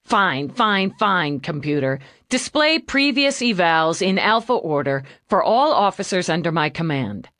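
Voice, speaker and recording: A woman's voice: on the low side, big pitch swings, a little slow, few pauses, fairly bright tone, no room sound, studio quality. Woman playing a blunt, tough police lieutenant. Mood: curt. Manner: blunt, curt orders. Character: tough, gruff female homicide lieutenant.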